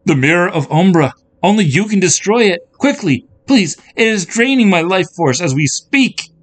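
A man's voice: medium pitch, animated, moderate pace, voice pushed up, close to an everyday voice. A man voicing a bold, regal young princess who speaks in a grandly formal, theatrical way. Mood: pleading. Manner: archaic, grandly formal speech.

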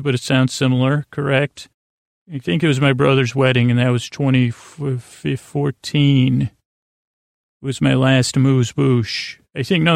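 Creaky, meandering man hosting a sleep podcast. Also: medium pitch, dry recording, clean studio sound. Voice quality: creaky, gravelly. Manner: meandering, halting, stumbling over words, trailing into tangents. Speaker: podcast host. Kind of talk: rambling bedtime monologue to lull listeners to sleep.

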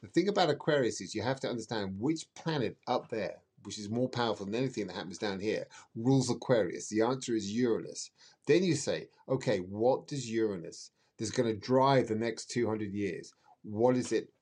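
A man's voice: on the low side, normal speed, a little mellow, slightly rough. A man speaking as an astrologer guest in an interview, explaining emphatically in a rambling way and repeating words for emphasis.

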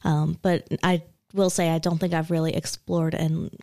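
Low-voiced woman in easy podcast chat. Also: flat delivery, moderate pace, warm tone, no echo, studio quality. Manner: easygoing, agreeable, backchannels a lot. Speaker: podcast co-host. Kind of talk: casual chat.

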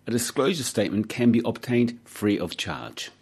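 A man's voice: medium pitch, expressive, moderate pace, a little mellow, slightly rough. A man who hosts a studio podcast, reading the disclaimer and greeting his guest in a friendly way. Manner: friendly, brief interjections. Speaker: podcast host. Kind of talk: podcast interview and read disclaimer.

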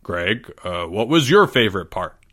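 Storybook teacher, done by a male narrator: patient but tired, gently prompting, lively bright delivery. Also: lowish voice, normal speed, pitched below the performer's own voice. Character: patient, weary male schoolteacher leading book club.

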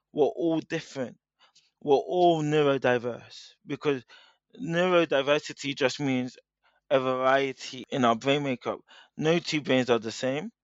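Measured man explaining. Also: medium pitch, no echo, highs cut off. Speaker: guest speaker. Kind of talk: interview, explaining at length.

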